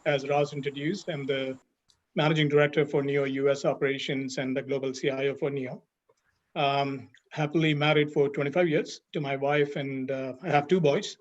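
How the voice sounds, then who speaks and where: A man's voice: fairly high pitch, muffled recording. A middle-aged man on a webinar panel, talking steadily and explaining at length with many fillers. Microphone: video call.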